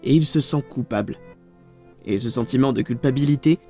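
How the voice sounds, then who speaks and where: A man reading scripted documentary-style narration for a video essay, in a measured, ominous storytelling manner. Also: mid-range voice, a little mellow. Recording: music under the voice. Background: background music.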